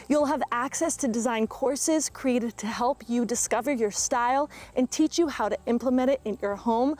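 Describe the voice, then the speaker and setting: A woman speaking as the host of a home tour show, friendly and clear in her wording. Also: a little high, darkish tone. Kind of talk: warm conversational interviewing.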